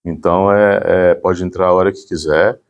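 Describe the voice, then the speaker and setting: A man's voice: low-pitched, fairly even intonation, moderate pace, clean tone, lo-fi sound. A man gives an informal explanation. He speaks as a volunteer presenting community work.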